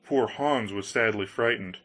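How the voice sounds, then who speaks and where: A man's voice: on the low side, a little slow, a bit bright. A man narrating a scripted fairy tale as an audiobook reader.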